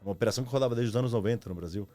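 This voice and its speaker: A man's voice: lowish voice, racing, warm tone, soft background music. Informal São man in his thirties. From Argentina. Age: 30s. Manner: informal, slangy, reflective. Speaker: podcast guest, former marketing executive. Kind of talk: casual conversational storytelling.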